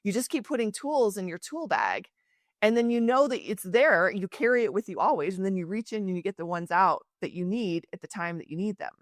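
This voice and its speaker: A woman's voice: medium pitch, expressive, brisk, no room sound. Woman, podcast host, earnest, emphatic, reflective, dry close sound. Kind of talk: conversational interview.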